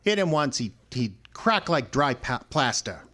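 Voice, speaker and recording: A man's voice: medium pitch, big pitch swings, a little slow, bright, forceful tone. Man playing a cocky tough guy with swooping intonation. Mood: scornful, accusing. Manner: brusque, slangy, defensive. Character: cocky, slangy, hard-boiled male murder suspect. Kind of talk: old-time radio crime drama acting.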